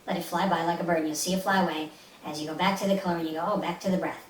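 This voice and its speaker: Female meditation guide, soothing and instructive, slightly low register, recorded off-mic with light hiss. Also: fairly even intonation, rapid-fire. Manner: soothing, instructive, repeats short phrases. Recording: roomy, distant mic with faint hiss.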